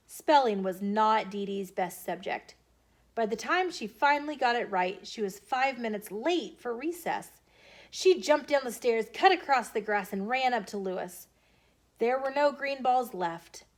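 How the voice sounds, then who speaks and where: A woman hosting storytime, reading aloud to children in an expressive, deliberate storytelling way. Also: on the high side. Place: filmed in a bookstore.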